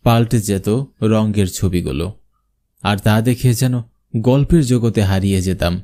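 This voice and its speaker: A man's voice: medium pitch, warm tone. A man delivering scripted narration as a storyteller and presenter.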